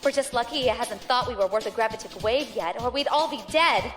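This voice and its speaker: A woman's voice: a little high, a bit bright, lightly acted. A woman playing a brash, cocky space pirate. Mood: defiant. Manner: defiant, quick, cocky. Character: brash young female space pirate captain. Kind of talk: audio drama acting. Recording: music score underneath.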